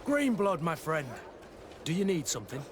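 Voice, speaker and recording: Solemn, higher-voiced soldier over ambient noise. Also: male voice, very animated, moderate pace. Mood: candid, reflective. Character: betrayed, regretful soldier mentor of the Guard. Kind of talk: dramatic video game dialogue. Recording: clear outdoor ambient noise behind.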